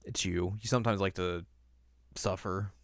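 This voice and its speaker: Very low-pitched man chatting casually. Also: steady tone, normal speed, dry recording, studio quality. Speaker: podcast co-host. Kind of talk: casual chat.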